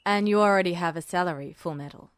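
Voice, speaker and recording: A woman's voice: lowish voice, fast talker, clear, resonant tone, no echo, studio quality, voice pushed down, natural voice. Woman voicing a smug, cool male officer. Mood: cool, dry. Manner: cool, clipped, dryly teasing. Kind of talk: podfic reading.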